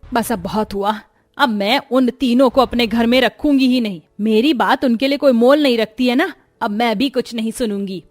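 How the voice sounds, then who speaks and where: Woman voicing an older cartoon mother-in-law, stern and worried, scolding her daughters-in-law in a raised, fairly high voice, dry close sound. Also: normal speed, no room sound, soft background music, natural voice. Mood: upset. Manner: scolding, pleading. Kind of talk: cartoon voice acting.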